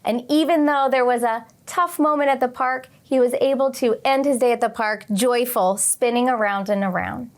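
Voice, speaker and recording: Female children's read-along host, higher-pitched, expressive, question-led teacher delivery. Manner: expressive, asks questions and pauses for imagined answers. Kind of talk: read-aloud and lesson addressed to young children.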